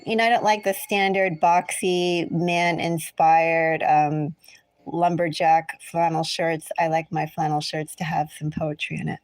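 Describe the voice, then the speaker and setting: A woman's voice: deep voice, flat delivery, measured pace, dry recording, poor audio. American woman, casual livestream tarot host, dry sound. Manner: rambling, chatty asides. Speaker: tarot reader hosting a livestream. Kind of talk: casual livestream chat with viewers.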